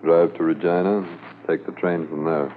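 Guy acting very low voiced terse mountie, stern and restrained, 50s radio drama, tinny w/ faint hiss. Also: fairly even intonation, moderate pace, natural voice. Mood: matter-of-fact. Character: stern, quietly bitter Mountie escorting a prisoner.

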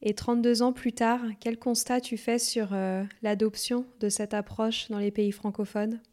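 Woman podcast host, measured delivery. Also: a little high, level tone, darkish tone. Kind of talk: scripted intro then interview questions.

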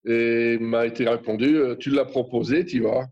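A man in his fifties from France, a podcast guest telling his story slowly and haltingly, with many fillers and restarts. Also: medium pitch, steady tone, a little mellow, lo-fi sound. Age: 50s. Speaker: guest, association board member. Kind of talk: casual podcast interview.

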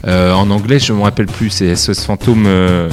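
A man's voice: on the low side, steady tone, brisk, music in the background. A man chatting casually about films and cartoons as podcast co-host, hesitant with many fillers.

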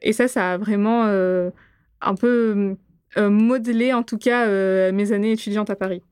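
Interview guest: young woman from Réunion, Gujarati as her first language, clear voice, measured and halting. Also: medium pitch, steady tone, a little mellow, no echo. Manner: thoughtful, frequent hesitations. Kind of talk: interview answers.

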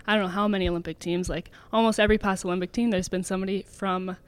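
A woman in her twenties, a swimmer being interviewed, talking casually with many fillers and quick laughs. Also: mid-range voice, steady tone. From Canada. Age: 20s. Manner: chatty, filler-heavy, laughs often. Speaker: guest, elite swimmer.

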